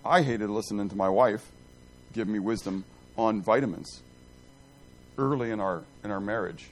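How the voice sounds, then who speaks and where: A middle-aged man teaching a Bible class in a rambling, self-deprecating, animated way, quizzing and joking with his group. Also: on the low side, normal speed, a little hiss or hum, poor audio.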